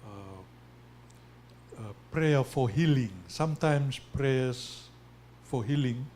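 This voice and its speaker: A man asking a question from the audience at a forum, hesitant and deliberate in his phrasing. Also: medium pitch, a little mellow, slight background noise.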